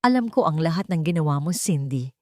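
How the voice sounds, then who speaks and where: A woman's voice: sits low, lively intonation, fairly quick, mellow, dry recording. A manipulative, menacing boyfriend, played by a female narrator, speaks with insistent threat in a dramatic audiobook. The voice is possessive and controlling, recorded dry. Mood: threatening.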